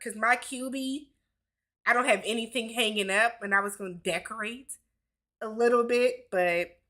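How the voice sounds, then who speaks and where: Chatty woman host in her forties, bright and slightly high voice, loose livestream Q&A. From Milwaukee, Wisconsin. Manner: chatty, laughs often, reads viewer comments aloud. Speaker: YouTube host. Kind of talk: casual livestream Q&A chat.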